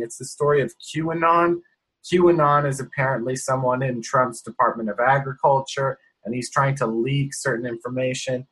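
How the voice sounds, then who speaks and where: A man's voice: medium pitch, fairly bright tone, breathy edge, poor audio. A man chatting casually in a wry, rambling way. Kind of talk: casual conversation.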